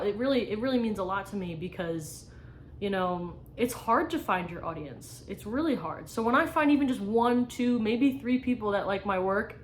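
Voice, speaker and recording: A woman's voice: mid-range voice, slight background noise. A young woman from New York answering tag questions about her small art shop. She rambles with lots of fillers and swears casually. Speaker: small business artist.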